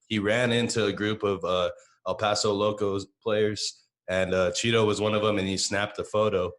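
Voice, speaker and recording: A man hosting a casual podcast, relaxed and upbeat, giving shout-outs and asking questions. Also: on the low side, normal speed. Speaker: podcast host.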